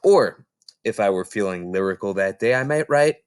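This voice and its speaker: A man reading a children's book aloud in an expressive, theatrical storytelling style with emphatic phrasing. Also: fairly low pitch, moderate pace, clear, resonant tone, dry recording, studio quality.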